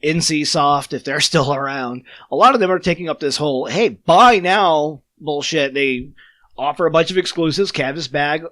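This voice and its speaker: A man's voice: fairly high pitch, normal speed, pushed and bright, no echo, studio quality. Sarcastic man with a smoker's voice. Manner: crude, sarcastic, riffs and laughs. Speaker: podcast host. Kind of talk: casual gaming podcast banter.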